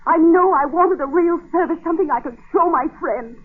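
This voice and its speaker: A woman's voice: extremely high pitch, brisk. A woman playing a haughty, indignant grand lady with an affected, complaining manner in a 1940s radio drama. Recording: muffled vintage 1940s radio broadcast.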